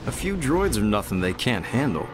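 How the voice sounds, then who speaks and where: An actor playing a confident young Jedi general and mentor in an animated game, commanding and wry. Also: male voice, lowish voice, normal speed, over music. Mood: stern, instructive. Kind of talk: animated game cutscene acting.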